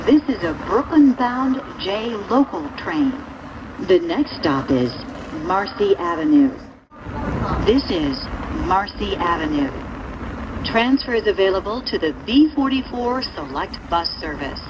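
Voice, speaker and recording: Female transit announcer, formal and deliberate, lively intonation, heard through a train PA in a dull recording. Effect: train public-address speaker. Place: inside a subway train, over speakers. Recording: dull, noisy recording inside moving train. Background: rumbling train noise.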